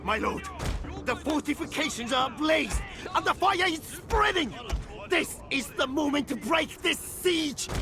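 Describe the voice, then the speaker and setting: An actor playing a fervent samurai officer in a video game cutscene, declaiming urgent battle reports to a lord. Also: high voice. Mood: urgent alarm. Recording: loud music behind the voice. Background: loud cinematic music.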